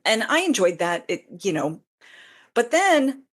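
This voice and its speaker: A woman's voice: a little high, big pitch swings, a little slow. A woman chatting casually as a podcast guest and fan fiction author, telling stories in a rambling, talkative way. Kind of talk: casual interview chat.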